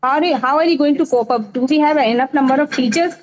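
A woman's voice: high voice, quick pace, muffled recording. A woman, pressing her questions insistently and repeating them in a heated panel debate.

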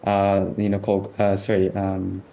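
A man's voice: sits low, completely flat delivery, normal speed, creaky, warm tone, slight background noise. A teenage boy with a Southeast London accent, answering interview questions politely and hesitantly, with many fillers and restarts. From India, raised in the UK. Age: teens. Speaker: interview guest, chess player.

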